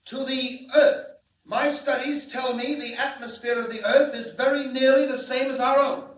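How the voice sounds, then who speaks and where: A man's voice: very high-pitched, steady tone, normal speed. A man acting a grave, urgent alien scientist in a 1940s radio drama, solemn and declamatory. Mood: earnest. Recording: echoey, distant 1940 radio broadcast.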